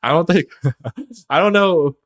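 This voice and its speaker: A man's voice: fairly high pitch, expressive, slow, dry recording, clean studio sound. A young man chatting casually as a podcast guest, rambling with many fillers and laughs. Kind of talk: casual interview chat.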